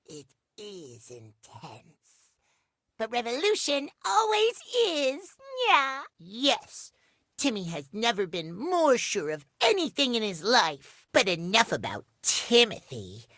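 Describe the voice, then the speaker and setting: An actor voicing a cute, excitable, dramatic little video game character who gushes about himself in the third person. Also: male voice, very high-pitched, takes it slowly, no echo, clean studio sound, full character voice. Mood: earnest, determined. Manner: refers to himself in third person, gushing, theatrical. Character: cutesy, excitable, dramatic household-object creature speaking of himself.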